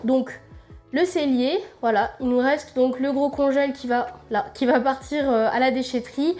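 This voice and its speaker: A woman's voice: high-pitched, fairly even intonation, normal speed, darkish tone, soft background music. A young woman from France with a cold, chatting casually in a vlog while she tours and tidies her apartment, full of fillers. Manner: rambling, many fillers, gives a tour while tidying. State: congested with a cold. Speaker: vlogger. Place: apartment indoors.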